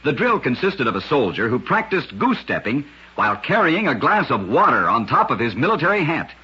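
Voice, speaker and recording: A man narrating short scripted stories in an old-time radio style, with an emphatic showman delivery and a husky voice. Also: medium pitch, very animated, fairly quick, bright, forceful tone. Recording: dull, lo-fi old radio recording.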